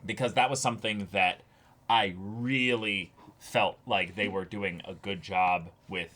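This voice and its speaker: A man's voice: lowish voice, steady tone, unhurried, sharp tone. A man, around his thirties, chatting casually as a show co-host and repeating words emphatically. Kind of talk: casual discussion of an anime episode. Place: small indoor room with backdrop, seated.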